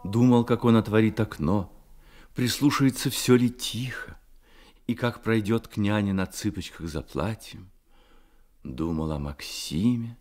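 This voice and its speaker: A man narrating a radio drama as scripted literary storytelling, in a measured manner. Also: fairly low pitch, darkish tone.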